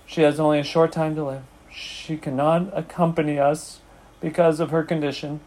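A man's voice: fairly high pitch, flat delivery, normal speed, darkish tone, lightly acted, rough recording. A man voicing a frantic, emotional young pig in a children's storybook reading, urgent, pleading and melodramatic. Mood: desperate, pleading.

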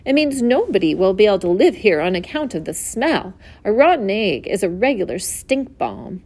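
A woman voicing a wise, gentle female spider who speaks politely and formally in a storybook reading. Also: medium pitch, lively intonation. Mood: gracious. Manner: polite, measured, formal speech.

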